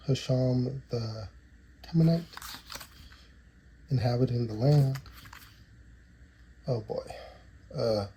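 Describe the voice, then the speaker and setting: A man's voice: medium pitch, dark tone. A man in his thirties with a stuffy, nasal voice from a cold, casually reading card names aloud and chatting while opening card packs. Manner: rambling, reads card names aloud between asides. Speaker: trading card collector opening booster boxes. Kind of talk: casual box-opening commentary. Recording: noisy with card shuffling and clicks.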